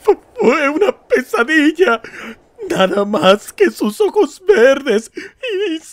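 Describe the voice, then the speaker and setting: A man's voice: very high voice, sing-song, slow, no room sound, exaggerated voice. A man voicing a wounded, terrified little cartoon star creature, crying as it talks. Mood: sobbing terror.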